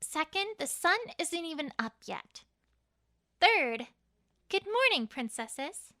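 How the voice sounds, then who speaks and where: A sensible young unicorn pony, played by a woman, high and lively with a bright edge. She is slightly prim and matter-of-fact, in a cartoon audio drama style. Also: slow pace, heavily acted. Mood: groggy, annoyed.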